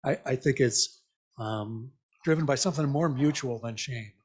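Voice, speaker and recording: A man giving an informal, interactive church message in a conversational, reflective, halting way, often checking in with questions. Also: mid-range voice, breathy edge. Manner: invites listeners with questions. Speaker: lay church speaker.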